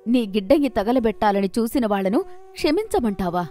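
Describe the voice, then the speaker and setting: A woman's voice: on the high side, big pitch swings, a little fast, mellow, soft background music. A woman voicing a kindly but firm widowed landowner and adoptive mother in a folk tale. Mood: stern. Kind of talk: acted folk tale dialogue.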